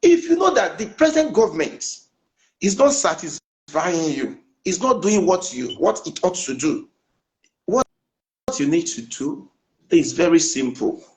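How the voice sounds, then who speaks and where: A man's voice: on the high side, big pitch swings, unhurried, a little mellow, low-quality recording. A Nigerian man with a Nigerian accent, a politician guest in a heated panel debate, who talks insistently over the host.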